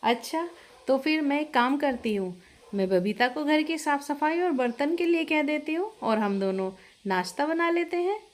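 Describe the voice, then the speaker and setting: A woman's voice: high voice, animated, moderate pace, voice pushed up. A woman voicing a chatty eldest sister-in-law who hands out chores in a family story. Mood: matter-of-fact.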